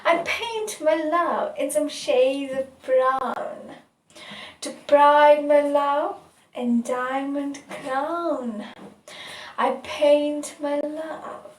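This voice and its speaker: A woman reciting her own poem in a dramatic, deliberate way, with pauses between phrases. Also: high voice, darkish tone. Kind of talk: poetry recitation. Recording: echoey room, distant mic.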